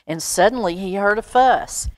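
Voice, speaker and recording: An American woman reading a picture book aloud to young children in an expressive, unhurried way, with pauses and exclaimed asides. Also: mid-range voice, no room sound. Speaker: storytime reader at a library.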